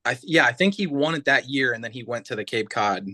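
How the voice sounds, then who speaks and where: A man in his mid thirties, a guest on a casual podcast. He speaks at an ordinary pitch in a laid-back way, often replying with a short "yep" before he tells a story. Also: animated, brisk, pushed and bright. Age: 30s. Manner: easygoing, short agreeing replies. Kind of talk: casual chat.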